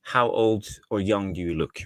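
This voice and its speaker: Very deep-voiced man, dry sound. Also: no echo. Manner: measured, thinks aloud with pauses. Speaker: co-host of a football fan show. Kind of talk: casual football talk.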